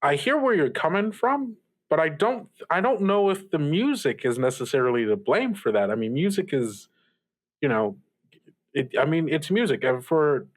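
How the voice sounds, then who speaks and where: Animated, hesitant man as young radio host. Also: fairly high pitch, normal speed. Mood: placating, casual. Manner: hesitant, stammering, placating. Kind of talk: improvised audio drama, casual talk.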